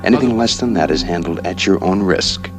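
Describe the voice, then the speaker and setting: Low, ominous actor as anthology narrator. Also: male voice, fairly quick, close to an everyday voice. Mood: ominous warning. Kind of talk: dramatic scripted anthology narration. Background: clear music.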